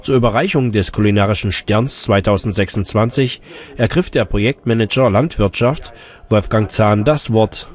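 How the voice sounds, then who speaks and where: A man reading a scripted voice-over for a regional news report in a measured tone. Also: lowish voice. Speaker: news narrator.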